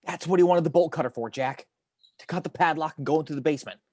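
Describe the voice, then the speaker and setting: A man playing an intense, brooding investigator with a husky, halting delivery in a drama table read. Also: on the high side, darkish tone, a little hiss or hum, close to an everyday voice. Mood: urgent realization. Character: Will Graham, intense, haunted male FBI investigator.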